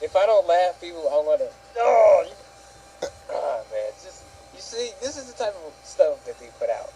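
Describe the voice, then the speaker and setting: A man's voice: high voice, big pitch swings, moderate pace, gravelly, crowd noise, rough recording. A man co-hosting a casual opinion show, ranting indignantly and swearing as he goes. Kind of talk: casual opinion rant with a co-host.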